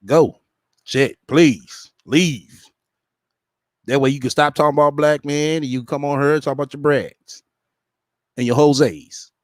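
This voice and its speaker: A man's voice: fairly high pitch, unhurried, pauses often, no echo, clean studio sound. Blunt, cussing man on a livestream. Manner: blunt, dismissive, profane. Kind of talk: casual livestream chat.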